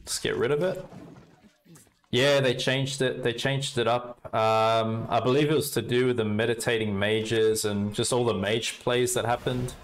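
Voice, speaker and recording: A young Australian man casually commenting on his card game and chatting with viewers, relaxed and talkative. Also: mid-range voice, measured pace, slight background noise. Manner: relaxed, chatty, talks to chat while playing. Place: indoor room at a desk.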